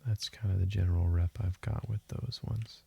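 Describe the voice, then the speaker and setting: A man giving calm, soothing game commentary in a hushed, deliberate voice that drifts toward a whisper, as if for sleep. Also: deep voice, vocal fry, husky. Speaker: gaming video host. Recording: faint hiss with keyboard and mouse clicks. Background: keyboard typing and mouse clicking.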